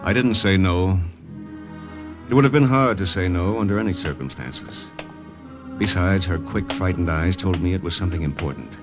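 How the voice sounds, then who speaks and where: Male lead, acted: hard-boiled American agent, deep voice, understated delivery, vintage radio thriller with strong music behind. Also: normal speed, natural voice. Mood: reflective. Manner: terse, understated. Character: wary, hard-boiled American secret agent narrating his mission. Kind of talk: old-time radio narration and acting.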